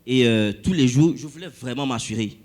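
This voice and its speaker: A man with a West African French accent telling a church testimony, animated and full of hesitations. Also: mid-range voice, moderate pace. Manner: animated storytelling with frequent hesitations. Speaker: church member giving testimony.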